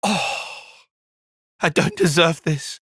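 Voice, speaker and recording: An earnest young strategist for a video game cutscene. His phrasing is formal and exact, with animated pitch swings and a slightly husky edge. The recording is very dry, with no room around it. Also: takes it slowly, no room sound, heavily acted. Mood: subdued. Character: analytical, reserved young male military strategist, earnest and proper.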